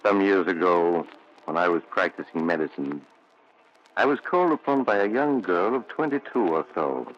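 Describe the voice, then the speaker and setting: Casting: deep-voiced, measured storyteller doctor, old-time 1940s radio thriller delivery. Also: male voice, lively intonation. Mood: grave, narrating. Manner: measured, theatrical. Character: ominous mysterious storyteller, also a calm reassuring doctor. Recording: muffled 1940s radio recording.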